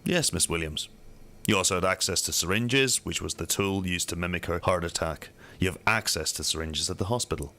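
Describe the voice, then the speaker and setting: Man playing a low-voiced, probing police detective. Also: pushed and bright. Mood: pressing. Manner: measured, formal questioning. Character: methodical male police detective, probing and matter-of-fact. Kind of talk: amateur radio drama acting.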